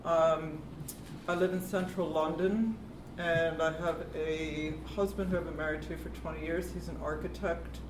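An American woman in her fifties with a deep, fairly monotone voice, giving a chatty, self-deprecating talk to a live panel audience. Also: normal speed, distant mic. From United States. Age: 50s. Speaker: panel speaker. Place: event hall with live audience. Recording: echoey room, off-mic, background noise.

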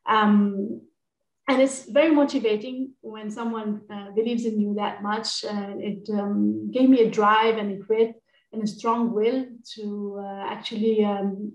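A woman's voice: mid-range voice, a little mellow. A woman from Lebanon answers questions as a podcast guest. She speaks earnestly, with occasional fillers. Her voice sounds distant and roomy, as if she is a remote guest. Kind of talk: interview answers.

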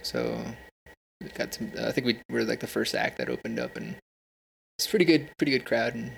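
A young man with a mid-pitched, gravelly voice answers in an easygoing, relaxed way, pausing on uhs. Faint ambient noise hangs behind him. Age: young adult. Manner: easygoing, filler-heavy. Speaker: bass player. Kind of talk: casual interview answers.

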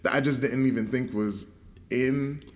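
A man hosting a casual conversation, reacting with quick interjections and laughs. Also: medium pitch, steady tone. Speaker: host. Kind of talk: casual chat.

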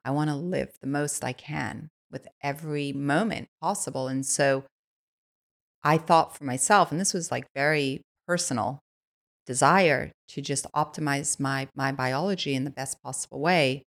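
A woman guest on a podcast, chatting in a casual, eager way and filling her sentences with "like." Her voice sits low, with a gravelly fry running through her speech. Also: moderate pace. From Switzerland. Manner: enthusiastic, lots of "like" fillers. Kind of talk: casual conversational storytelling.

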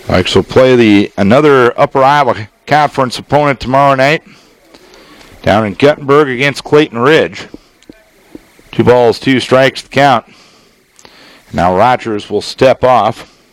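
A man with an American accent giving live baseball play-by-play on the radio, in measured, descriptive phrases with pauses between them. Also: medium pitch, no room sound, rough recording. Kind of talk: live sports play-by-play commentary.

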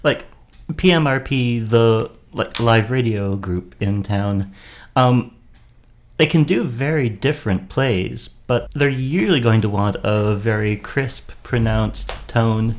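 A man's voice: medium pitch, takes it slowly. Thoughtful man explaining his craft. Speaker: actor and podcast guest host. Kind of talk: casual chat.

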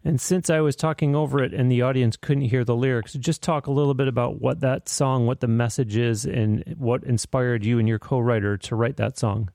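Man, podcast host, medium pitch, articulate, orderly, polished interview delivery. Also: fairly even intonation, brisk, creaky, few pauses, a little mellow, dry recording, studio quality.

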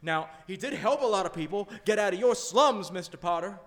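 Man playing a high-voiced principled young everyman. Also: lively intonation, brisk, fairly bright tone. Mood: defiant. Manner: plain-spoken, impassioned. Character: earnest young small-town man, principled and defiant. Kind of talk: live radio play acting. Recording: echoey hall, live stage performance.

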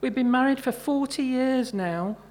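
A woman's voice: high voice, normal speed, darkish tone, voice pushed up, natural voice. Woman reading as plain-spoken elderly mum. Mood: proud, disbelieving. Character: elderly mother, indignant, proud, confiding, long married. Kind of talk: dialogue in a short story reading.

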